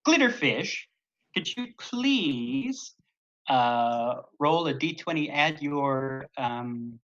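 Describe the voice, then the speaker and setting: A man's voice: mid-range voice, animated, extremely slow, a bit bright, dull audio. A man narrating as dungeon master in a tabletop game stream, rambling with many fillers and chuckles. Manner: rambling, hesitant, frequent fillers and laughter.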